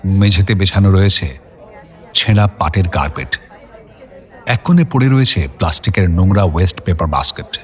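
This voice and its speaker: A man's voice: sits low, lively intonation, moderate pace, long pauses. A man narrating a scripted suspense story in a dramatic storytelling style.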